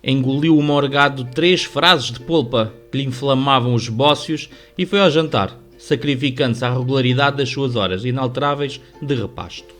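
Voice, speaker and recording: A man's voice: medium pitch, moderate pace, few pauses. A man with a European Portuguese accent reading scripted literary narration for a radio drama. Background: soft music.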